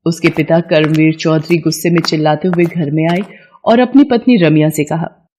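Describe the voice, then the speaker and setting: A woman's voice: low-pitched, normal speed, mellow, slight background noise. A woman narrating a scripted moral story as its storyteller.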